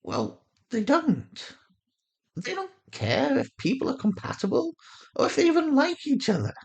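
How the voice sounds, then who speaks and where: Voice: sits high, huge pitch swings, measured pace, slightly rough, voice pushed up, exaggerated voice. A performer voicing a defiant, pleading young woman held captive in an audio drama, urgent and argumentative. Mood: bitter, insistent. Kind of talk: acted audio fiction.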